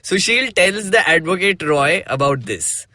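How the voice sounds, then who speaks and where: A man's voice: sits high, lively intonation, measured pace, bright, forceful tone. A man with an Indian accent hosting a casual podcast, reading a comic theatrically and breaking off for playful asides. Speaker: podcast host.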